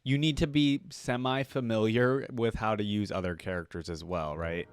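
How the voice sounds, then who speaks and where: A man giving casual, halting reaction commentary as a video host, informal and easily impressed. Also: fairly low pitch, fairly even intonation, slight background noise.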